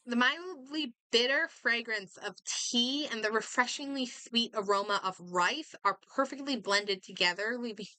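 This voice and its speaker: A woman doing casual game stream commentary, reading aloud and reacting in a halting, think-out-loud way. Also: on the high side, no room sound, rough recording.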